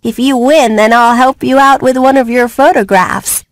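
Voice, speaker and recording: An actress playing a friendly, upbeat little bird who gives encouraging instructions in an animated video game. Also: female voice, sits high, normal speed, dry recording, close to an everyday voice. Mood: cheerful, encouraging.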